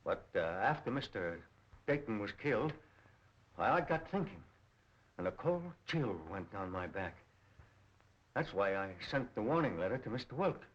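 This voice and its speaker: An actor playing an uneasy, hesitant informant making a confession, in 1930s film style. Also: male voice, fairly low pitch, sing-song, moderate pace, long pauses. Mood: uneasy, confessing. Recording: dull, lo-fi 1930s film soundtrack.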